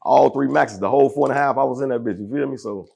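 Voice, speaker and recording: A man with a deep, gravelly voice talks fast and aggressively, in heavy street slang. He sits as an interview guest in casual conversation. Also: mellow.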